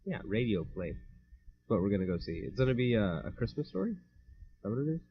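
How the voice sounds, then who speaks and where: A man's voice: lowish voice, sing-song, fast. A young man co-hosting a casual podcast, rambling, with many fillers and easy laughs. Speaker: podcast co-host. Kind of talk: casual chat. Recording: dull lo-fi home mic.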